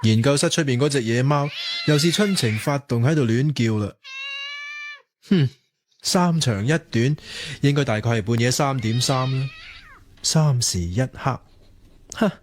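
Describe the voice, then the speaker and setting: A man's voice: medium pitch, animated, a little slow, long pauses, a little hiss or hum, lightly acted. A man acting a lonely, self-mocking young research student who mutters theatrically to himself in a radio drama. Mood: weary. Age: young adult. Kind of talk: Cantonese radio drama monologue.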